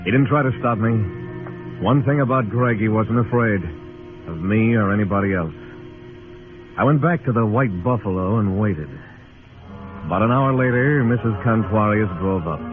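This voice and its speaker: An actor playing a tough, laconic frontier marshal in a radio western, speaking and narrating in a terse, deadpan way. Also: male voice, lowish voice, normal speed, pauses often, natural voice. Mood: grim narrating. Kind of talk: old-time radio western acting and narration. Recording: tinny old radio recording with music.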